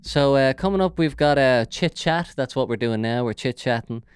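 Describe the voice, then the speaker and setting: Irish man with a smooth, clear voice. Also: mid-range voice, normal speed, dry recording. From Ireland. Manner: rambling and jokey, with frequent fillers. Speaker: podcast host. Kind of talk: casual solo podcast chat. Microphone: big studio microphone.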